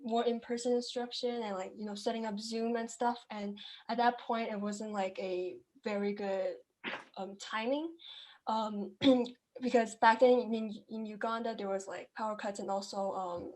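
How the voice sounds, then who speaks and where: A woman's voice: a little high, fairly even intonation, moderate pace, a little mellow. A young woman from China, raised in Kenya, answering interview questions in a casual, chatty way with many fillers and restarts. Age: young adult. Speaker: guest entrepreneur.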